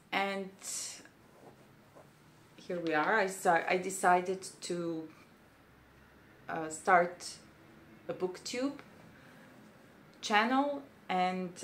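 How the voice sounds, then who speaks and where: A woman's voice: on the low side, a bit bright, a little hiss or hum. A young woman with a Serbian accent, talking casually and hesitantly in a vlog, with many fillers. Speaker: booktuber.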